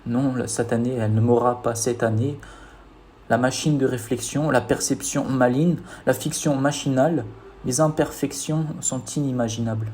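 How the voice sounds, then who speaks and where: Man reciting spoken-word poetry, soft dark tone. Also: mid-range voice, fairly even intonation, normal speed, slight background noise. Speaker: poet reciting own poem.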